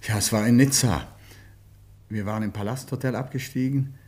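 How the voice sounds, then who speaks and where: A male actor plays an earnest gentleman in a classic radio drama. He speaks with deliberate, measured diction, as someone living through a troubled love affair. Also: medium pitch, husky, lightly acted. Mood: grave, reflective. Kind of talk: German radio play acting.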